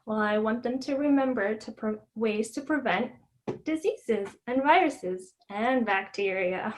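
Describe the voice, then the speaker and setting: A woman's voice: a little high, measured pace, low-quality recording. A woman, a guest author, reading her children's book and answering questions. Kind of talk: storybook read-aloud and interview.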